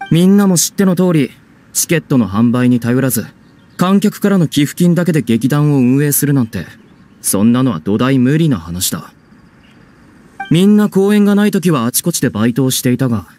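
Man as mellow, stubborn young stage performer. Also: fairly high pitch, lightly acted. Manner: gruff, blunt, informal speech. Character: guarded, stubborn young male theatre troupe performer. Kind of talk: anime game voice acting.

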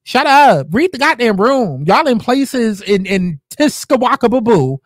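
A young woman talks, reacting to clips in a brash, joking way and swearing freely. Her pitch leaps around a lot as she talks. The recording is dry and close, with no room sound. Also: big pitch swings, a little slow, no echo. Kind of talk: casual reaction commentary.

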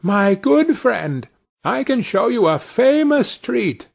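A man voicing a tiny, cheeky boy hero in a storybook, speaking in a high, eager, sing-song voice. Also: very animated, slow pace, vocal fry, husky, exaggerated voice. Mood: coaxing.

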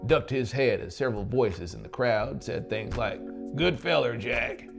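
A man's voice: on the low side, normal speed, creaky. A man in his thirties narrating an audiobook story in a dramatic style. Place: small dark indoor studio. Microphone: lapel microphone. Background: music.

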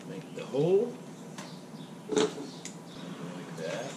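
A man's voice: fairly low pitch, huge pitch swings, very slow, background noise. A man in his fifties building and rigging a small sailboat, thinking aloud in short, musing phrases as he works. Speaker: boat builder. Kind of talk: talking to himself while working. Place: outdoors in a driveway and on a boat on a lake. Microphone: action camera on the boat.

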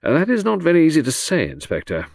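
A man voicing a weary older gentleman who speaks in a measured, sober way. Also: on the high side, wildly swooping pitch, vocal fry, dry recording, studio quality, voice pushed up, exaggerated voice. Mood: grave.

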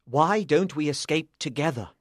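A man's voice: on the high side, lively intonation, moderate pace, no echo, natural voice. A brave, proud knight of medieval legend, played by a man in a storybook reading. He is firm and earnest, devoted to his lady. The recording is very dry. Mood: sad, earnest.

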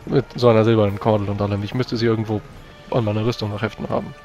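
A man's voice: on the low side, flat delivery, rapid-fire, darkish tone, lo-fi sound, soft background music. A man improvising in-character dialogue as a tabletop player, with formal, old-fashioned phrasing. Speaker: tabletop role-playing player.

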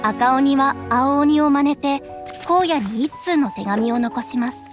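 A woman playing a storyteller who narrates a gentle folk tale in a video game. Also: sits high, unhurried, music in the background. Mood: gentle storytelling. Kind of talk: scripted folktale narration in a game.

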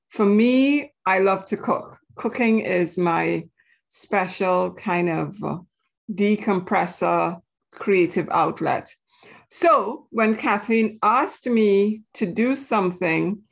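Lady giving a chatty talk, unhurried, bit of fry in her voice. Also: mid-range voice. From Trinidad and Tobago. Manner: chatty, informal asides to the audience. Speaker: presenter. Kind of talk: casual live presentation to a group.

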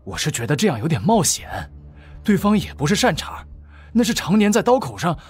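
Anxious, very husky henchman, acted by a man. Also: high-pitched, big pitch swings, a little fast, faint music behind, heavily acted. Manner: pleading, cautious. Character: anxious, cautious male henchman.

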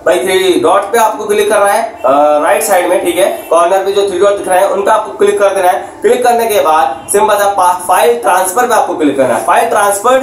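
A man's voice: high voice, quick pace, few pauses. A man giving an informal step-by-step tutorial, repeating himself and often adding check-in tags. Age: 20s. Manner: repetitive, with frequent check-in tags. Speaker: tech tutorial YouTuber. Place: small curtained room indoors. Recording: echoey room with faint background noise.

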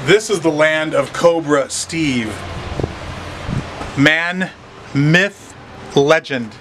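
A man's voice: on the high side, extremely slow. Enthusiastic man hosting a workshop tour. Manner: enthusiastic, showman-like introductions. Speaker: vlog host touring a shop. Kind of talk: casual walking-tour chat. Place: indoor workshop and warehouse. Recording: distant voice with room noise.

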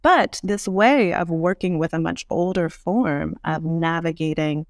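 A woman's voice: on the low side, measured pace, no echo, clean studio sound. A woman giving thoughtful, articulate explanations as an interview guest, weighing her points with care. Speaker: podcast guest, urban design expert.

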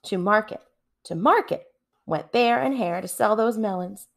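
A woman reading a children's story aloud with expressive narration. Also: mid-range voice, moderate pace, vocal fry. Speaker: storybook reader.

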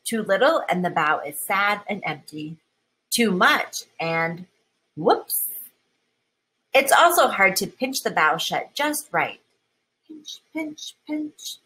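Female children's storybook reader, unhurried and expressive, with a crisp bright tone and slightly husky edge. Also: medium pitch, low-quality recording. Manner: expressive, deliberate, with emphasized key words. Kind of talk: children's picture book read-aloud.

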